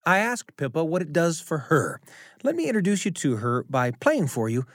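A man's voice: on the high side, sing-song, normal speed, no echo. A man hosting a radio story hour, reading a scripted introduction and stretching out key words for emphasis. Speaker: radio show host.

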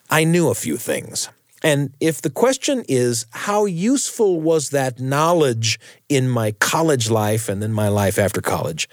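A man's voice: medium pitch, darkish tone, dry recording, studio quality. A middle-aged American man hosting a radio show, telling personal stories in a conversational way with emphatic asides. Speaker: radio show host and storyteller.

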